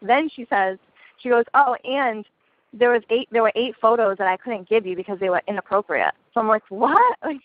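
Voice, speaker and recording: A young woman telling a story in casual chat on a phone call, chatty and quick to swear. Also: a little high, no room sound. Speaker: caller. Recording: dry tinny phone line.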